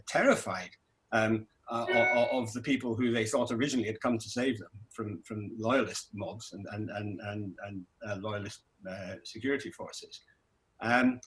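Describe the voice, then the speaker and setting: A middle-aged man who grew up in Scotland, a documentary director answering festival questions, talking in a halting way with many restarts. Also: medium pitch, fairly bright tone, low-quality recording. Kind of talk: festival Q&A interview.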